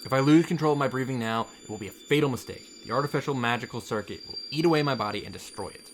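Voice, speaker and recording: A man reading the visual novel monologue of an earnest, determined young student of magic, in a hushed, solemn, measured way. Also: mid-range voice, slight background noise, lightly acted. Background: background music.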